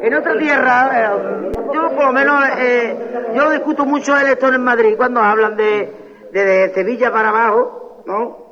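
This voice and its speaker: A woman, a flamenco artist talking in a radio interview, chatty and full of fillers and tag questions. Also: mid-range voice. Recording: dull old radio tape with long reverb.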